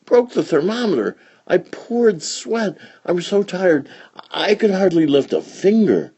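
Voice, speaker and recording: A man's voice: high voice, very animated, a little slow, mellow, lo-fi sound, slight background noise, pitched above the performer's own voice, full character voice. A man voicing a child who boasts about having been sick, in an eager, exaggerating storybook voice. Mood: boastful. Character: boastful sick child telling a tall tale.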